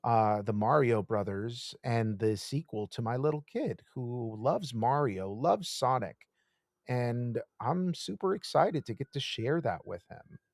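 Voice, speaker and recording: A man's voice: medium pitch, animated, measured pace, no echo, studio quality. A man in his thirties co-hosting a podcast, talking in a laid-back way with many fillers. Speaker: podcast co-host. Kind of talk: casual chat.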